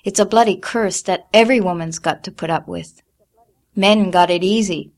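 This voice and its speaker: Audiobook figure: a coarse, snapping mother of a big brood, loud and curt, read by a woman. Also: medium pitch, unhurried, close to an everyday voice. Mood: scornful. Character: blunt harsh mother of twelve, middle-aged.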